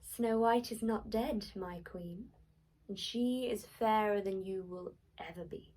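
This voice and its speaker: A woman voicing a truth-telling magic mirror from a storybook, in a measured, formal manner. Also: mid-range voice, a little mellow, distant mic, rough recording. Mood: grave.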